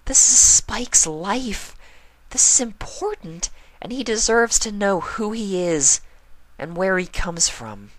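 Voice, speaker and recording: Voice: medium pitch, lively intonation, slow pace, a bit bright, dry recording, voice pushed up, poor audio. A storyteller voicing a bubbly but firm, caring young female pony, speaking in a direct, chirpy way. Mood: firm, serious.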